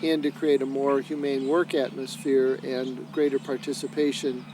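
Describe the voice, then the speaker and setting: A man who works as a senior associate and lead trainer, explaining his program in a measured way with frequent pauses. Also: medium pitch, level tone, music in the background, poor audio. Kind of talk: explanatory documentary interview.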